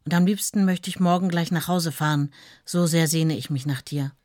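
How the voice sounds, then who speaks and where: An actress playing a devoted, self-sacrificing wife in a radio play, reading letters and memories in a plain, sincere, intimate way. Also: female voice, sits low, normal speed, darkish tone, no echo, studio quality, lightly acted. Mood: tender, wounded.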